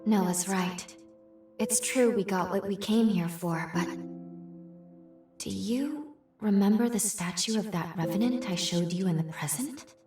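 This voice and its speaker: An actress playing an earnest, purposeful female companion with time-travel powers in a video game, composed and deliberate. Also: mid-range voice, reverberant, recorded from a distance, music in the background, lightly acted. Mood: grave. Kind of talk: video game cutscene acting.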